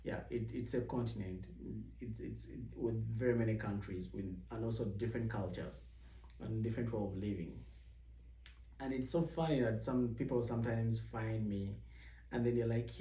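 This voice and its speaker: A young man from Uganda telling personal stories as a vlog host, rambling with many restarts and jumping higher when he mimics people's questions. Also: dark tone, distant mic. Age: young adult. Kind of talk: casual personal storytelling. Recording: echoey room, voice set back from the mic.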